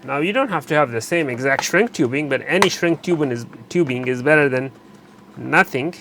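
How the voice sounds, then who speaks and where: A man narrating a hands-on repair tutorial while he works, pausing and correcting himself as he goes. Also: on the high side, expressive, background noise. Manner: unscripted, pauses and self-corrects while working. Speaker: electronics repair instructor.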